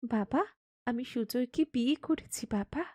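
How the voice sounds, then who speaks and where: A woman's voice: on the high side, measured pace, dark tone, no room sound, studio quality. A woman voicing a headstrong, loving young daughter of a landlord in a cartoon story, speaking earnestly and insistently. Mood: determined. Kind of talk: Bengali cartoon story acting.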